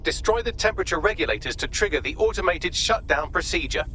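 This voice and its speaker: A sardonic artificial intelligence from a video game, speaks in a slightly high male voice. The delivery is articulate, smug and dryly polite even when threatening. Clear outdoor city and vehicle noise sits behind it. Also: fast talker. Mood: instructive. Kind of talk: scripted game dialogue.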